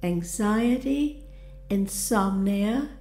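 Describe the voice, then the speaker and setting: Slow, mellow woman guiding a meditation. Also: mid-range voice. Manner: calm, gentle, deliberate delivery. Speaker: guided meditation narrator. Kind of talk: scripted guided meditation, soothing affirmations. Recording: roomy reverberant voice over music. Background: music.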